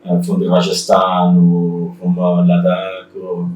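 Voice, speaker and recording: A man from El Salvador with a Salvadoran Spanish accent, speaking English as a second language, haltingly and with many fillers, interviewed as an artist in an art gallery. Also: deep voice, monotone, darkish tone, echoey room, far from the mic, slight background noise. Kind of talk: casual interview.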